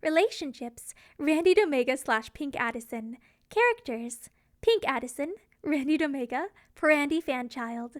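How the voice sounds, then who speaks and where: A woman narrates in a very high register. She reads scripted fan fiction as a storyteller, with a dramatic delivery. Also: lively intonation, normal speed.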